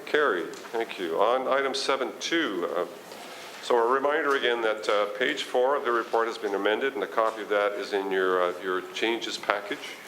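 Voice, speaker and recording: A man's voice: on the low side, normal speed, bright, forceful tone, a little hiss or hum, rough recording. A man chairing a formal meeting as mayor, courteous and hesitant in his phrasing. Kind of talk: formal meeting procedure, informal asides.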